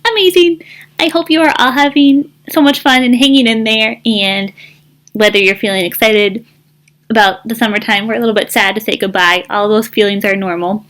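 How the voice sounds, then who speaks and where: A woman speaking as a school counselor reading a picture book aloud to children, with an encouraging, expressive delivery. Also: fairly high pitch, normal speed.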